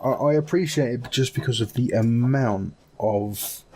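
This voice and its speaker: Male panelist, medium pitch, conversational, slightly noisy recording. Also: unhurried, mellow. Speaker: podcast panelist. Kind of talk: casual panel chat about films.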